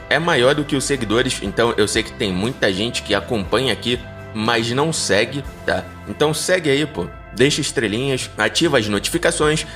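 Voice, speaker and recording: A man's voice: mid-range voice, a bit bright. A Brazilian man hosting and narrating a horror podcast in an informal, storytelling way. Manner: informal, slangy. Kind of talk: scripted story narration and casual listener address.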